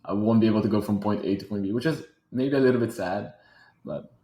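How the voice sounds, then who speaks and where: A man's voice: on the low side, fast, mellow. French-accented man in an echoey room. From Switzerland. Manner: thoughtful, repeats words while searching. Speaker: guest, robotics company founder. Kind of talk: casual interview talk.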